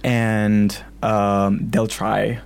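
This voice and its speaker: A man's voice: on the low side, level tone, very slow, vocal fry, smooth. A young man from Rome, an easygoing student guest. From Rome, Italy. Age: young adult.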